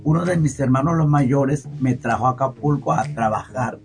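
A man's voice: mid-range voice, normal speed, faint music behind. A middle-aged man with a southern Mexican accent from the Guerrero coast, telling his story casually as an interview guest and acting out remembered quotes expressively. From Costa Chica, Guerrero, Mexico.

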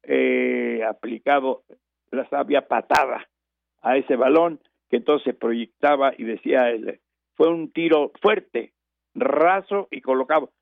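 An older Mexican radio host with a Mexican Spanish accent, reminiscing in a rambling, digressive way full of fillers and restarts. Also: male voice, mid-range voice, takes it slowly, vocal fry, no echo. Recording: tinny phone-line broadcast.

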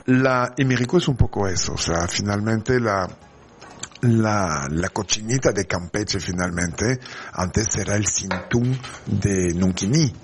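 A man's voice: lowish voice, unhurried, soft background music. A man from the south of France, a chef whose first language is French, chatting in an interview and often asking if he is understood. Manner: animated, keeps checking understanding, many restarts. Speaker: guest chef. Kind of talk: casual interview talk.

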